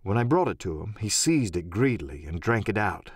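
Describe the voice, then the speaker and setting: Male narrator, scripted dramatic storytelling, audiobook, husky voice, dry close recording. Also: fairly low pitch, sing-song, fairly quick, no echo.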